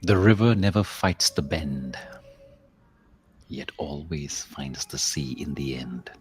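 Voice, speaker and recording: A man leading a guided meditation as host, speaking in a measured, contemplative way with long pauses and softly trailing phrases. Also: rumbling low, slight background noise. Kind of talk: slow guided meditation, spoken-word reflection with verse.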